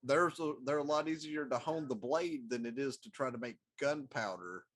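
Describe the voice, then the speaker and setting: Average-pitched man, casual co-host. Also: normal speed, a bit bright. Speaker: podcast co-host. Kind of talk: casual panel chat.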